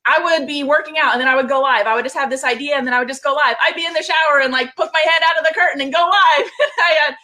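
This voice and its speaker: Upbeat woman host on a video call, bright, slightly high voice, bubbly. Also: very fast. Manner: bubbly, upbeat, talks straight to viewers. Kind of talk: live video call interview.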